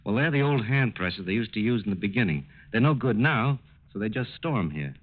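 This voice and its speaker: An actor playing an agreeable, puzzled plant safety engineer in a 1940s radio drama, folksy, plain-spoken and a little hesitant. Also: male voice, fairly low pitch, animated, fairly quick. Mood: puzzled, curious. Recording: tinny narrow-band old radio recording.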